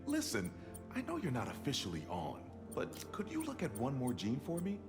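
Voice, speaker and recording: An actor playing an encouraging scientist who explains his work in measured tones in a game cutscene. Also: medium pitch, wildly swooping pitch. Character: middle-aged male plant geneticist, genial and encouraging. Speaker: scientist and mentor. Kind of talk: video game cutscene acting. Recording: game soundtrack music behind.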